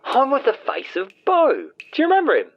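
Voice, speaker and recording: A man voicing an impatient, excitable male adventurer, brisk and peeved, through a phone effect in an audio story. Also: very high-pitched, gravelly, pitched above the performer's own voice, full character voice. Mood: excited. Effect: phone filter. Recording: narrow, noisy phone-receiver sound.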